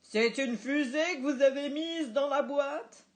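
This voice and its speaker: A woman reading a storybook, voicing a stubborn father who grows indignant and shouts at a waitress. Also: high voice, slow, voice pushed up. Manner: indignant, repeats his pointed questions. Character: exasperated dad, stubborn and quick to shout.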